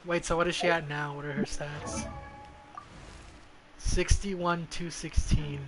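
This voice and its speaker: A man's voice: fairly high pitch, little pitch movement, slow, music in the background. A man giving casual, profane gameplay commentary as a streamer, muttering to himself as he plays. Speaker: video game streamer.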